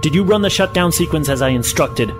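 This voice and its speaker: Actor as pompous scheming professor over loud music. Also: male voice, a little high, animated, brisk, close to an everyday voice. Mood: alarmed, furtive. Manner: pompous, formal, theatrical diction. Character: scheming, self-important male professor, smoothly feigning innocence. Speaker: actor in an audio drama. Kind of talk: science fiction audio drama acting.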